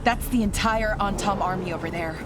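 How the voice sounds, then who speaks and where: An actress playing an eager young elven tinkerer who talks in a quick, nervous, excitable way in a video game cutscene. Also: female voice, mid-range voice, noisy background, lightly acted. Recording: game audio with music.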